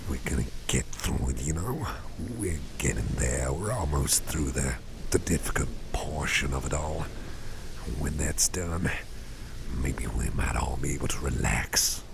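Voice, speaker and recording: A caring, easygoing boss acted by a man murmurs in an extremely low, gravelly voice. He rambles softly and reassuringly, as in an ASMR roleplay, with clear background noise behind him. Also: a little slow, heavily acted. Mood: relaxed, musing. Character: caring, easygoing male boss, gently soothing and chatty. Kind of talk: ASMR roleplay, soft personal-attention talk.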